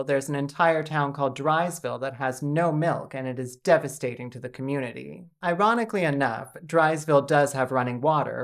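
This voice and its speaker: A Canadian woman hosting a scripted comedic video essay with dry, mock-dramatic delivery. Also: low-pitched. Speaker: video essayist and channel host.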